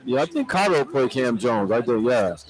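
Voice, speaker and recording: A man chatting as a sports radio analyst, thinking aloud in a halting, repetitive way with casual phrasing. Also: medium pitch, very animated, poor audio. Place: arena, during pregame.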